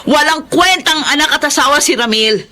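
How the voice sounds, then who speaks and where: Shrill, jealous Filipina wife. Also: female voice, moderate pace, sharp tone. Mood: bitter, furious. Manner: scornful, confrontational. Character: jealous, combative betrayed wife, quick to accuse. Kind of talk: melodramatic radio drama acting.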